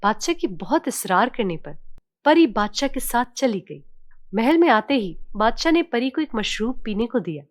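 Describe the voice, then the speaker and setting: Woman, storyteller, lively swooping intonation, dry close sound, scripted children's narration. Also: on the high side, moderate pace, no room sound.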